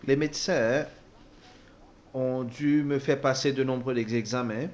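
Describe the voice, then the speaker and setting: A man interpreting a personal testimony, speaking haltingly with repeated words and self-corrections. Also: mid-range voice, fairly even intonation, dull audio. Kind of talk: spoken translation of a personal testimony.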